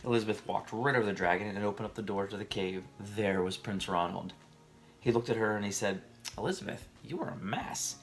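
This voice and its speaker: A man reading aloud to children at bedtime, expressive and emphatic, speaking directly to his young listeners. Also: fairly low pitch, a little fast. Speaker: storybook reader.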